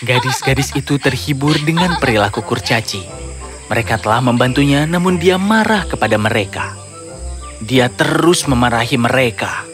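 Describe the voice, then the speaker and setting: A man narrates a children's story in a middle-pitched voice. His delivery is scripted storytelling, set over clear background music. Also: a bit bright.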